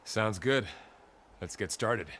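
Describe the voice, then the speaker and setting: A man playing a loyal, steady warrior companion in a video game, plainspoken, earnest and curious. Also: lowish voice, slow pace, slight background noise. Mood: curious, wry. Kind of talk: video game cutscene and exploration dialogue. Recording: cinematic game music behind. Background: game music.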